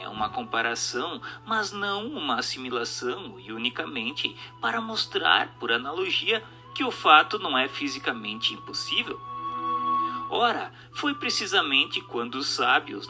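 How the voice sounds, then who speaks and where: A man reads a formal text as dramatic narration, in an emphatic, rhetorical, oratorical manner. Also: on the high side. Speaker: narrator reading a book aloud. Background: music.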